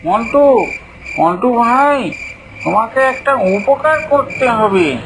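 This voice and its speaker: A man's voice: extremely high pitch, lively intonation, slow pace, noisy background, voice pushed up, heavily acted. A man voicing a worried, determined village friend in a cartoon, earnest and urging.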